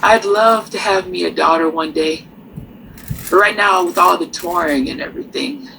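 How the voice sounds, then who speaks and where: An actress playing a poised, worldly nightclub singer in a radio drama, with theatrical, wryly warm delivery. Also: female voice, fairly low pitch, gravelly, slight background noise, low-quality recording. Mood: gentle. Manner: theatrical, unhurried, uses endearments like darling and honey.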